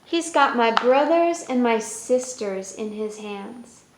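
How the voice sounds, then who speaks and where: A woman's voice: on the high side, lively intonation, slow, noisy background. A woman hosting a children's story time, reading aloud and explaining in a simple way. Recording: roomy echo with music.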